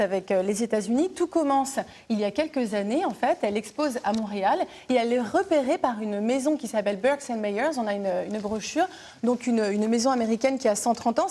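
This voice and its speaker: A woman with a fairly high voice speaks as a TV studio presenter. She explains each item in a chatty, friendly flow. Her delivery is loose and conversational. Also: dark tone. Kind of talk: studio talk show presenting.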